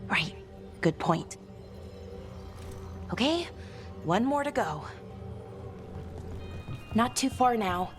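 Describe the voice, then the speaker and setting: An actress voicing an earnest, gentle young female artifact expert in a video game, speaking conversationally with slight hesitancy. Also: fairly high pitch, lively intonation, extremely slow, long pauses, soft background music. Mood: earnest, grateful.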